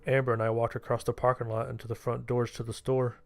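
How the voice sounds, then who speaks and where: A man's voice: mid-range voice, flat delivery, quick pace, creaky, lightly acted. A man playing a sheltered, anxious young survivor, narrating his story in the first person in a plain-spoken way. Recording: music behind the voice.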